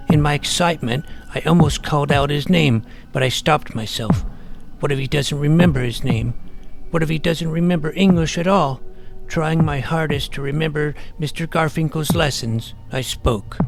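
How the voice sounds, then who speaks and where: A man's voice: fairly high pitch, normal speed, creaky, soft background music. A man playing an earnest, imaginative boy detective, narrating his own case in wordy, mock-serious audio drama style. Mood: tense, wondering. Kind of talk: scripted first-person narration with dialogue.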